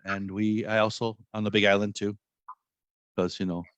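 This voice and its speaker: Man, from hawaii, middle-aged, guest comedian, dry self-mocking jokes, bright forceful voice, dry sound, video call. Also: lowish voice, level tone, moderate pace, clean tone, no echo. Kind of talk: casual podcast chat.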